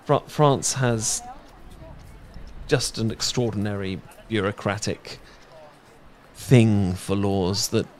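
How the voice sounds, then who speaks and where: A man's voice: lowish voice, slow, slight background noise. A young British man in a romantic audio roleplay, talking shyly with many fillers and restarts. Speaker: ASMR audio roleplay performer.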